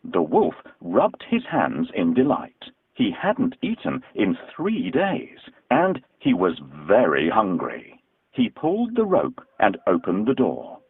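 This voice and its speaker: A man reads a children's story as narrator. His voice is fairly low and takes its time, with huge swings of pitch for dramatic, expressive storytelling. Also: slow pace, vocal fry, slightly rough, dry recording, narrow, tinny audio.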